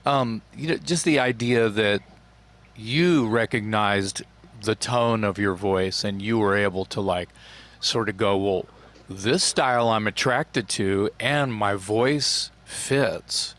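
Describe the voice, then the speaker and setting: A man hosting a podcast interview, talking in a halting, casual way with many restarts. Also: fairly low pitch, a bit bright. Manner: halting, restarts his sentences. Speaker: podcast host.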